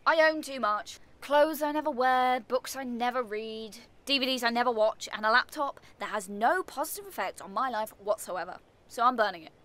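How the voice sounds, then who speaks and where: A woman's voice: a little high, moderate pace, few pauses, fairly bright tone, natural voice. A woman playing an exasperated, dramatic character in a radio comedy play, earnest and emphatic. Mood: defiant. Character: exasperated, dramatic woman determined on fresh start.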